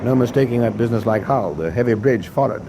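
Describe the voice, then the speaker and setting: An actor playing a stubborn, decisive warship captain in a wartime naval radio drama, with clipped replies. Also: male voice, mid-range voice, lively intonation, quick pace, noisy background, close to an everyday voice. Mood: assured.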